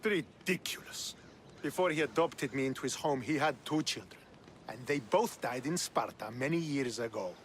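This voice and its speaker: An actor playing a proud, skeptical young Spartan officer in a video game, stern and dismissive. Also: male voice, medium pitch, expressive, moderate pace, a bit bright, slight background noise, close to an everyday voice. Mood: suspicious, scornful. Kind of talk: video game cutscene acting.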